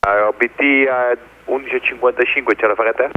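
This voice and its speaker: A man's voice: medium pitch, steady tone, fast talker. A male air traffic controller with an Italian accent gives procedural instructions over aviation radio. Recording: narrow, phone-like radio with faint static.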